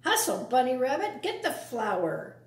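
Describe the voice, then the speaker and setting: A woman acts out a scruffy male vermin villain from a storybook, bossy and menacing. He barks his orders slowly, with big swings of pitch, in a roomy, echoing space. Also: big pitch swings, far from the mic, slight background noise. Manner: barking, snarling orders.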